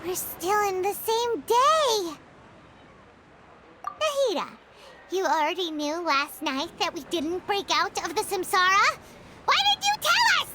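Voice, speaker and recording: A woman voicing a small, excitable fairy companion girl with an exclamatory manner in an anime video game. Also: very high voice, a little slow, long pauses, slight background noise, heavily acted. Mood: indignant. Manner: speaks of self in third person, exclamatory. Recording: loud music behind the voice.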